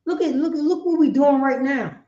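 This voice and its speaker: A woman's voice: high voice, fairly quick, mellow. Female religious teacher, impassioned and insistent, recorded in a roomy echo with muffled fidelity. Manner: emphatic, repetitive, rhetorical questioning. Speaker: class teacher, preacher. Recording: echoey room, dull lo-fi sound.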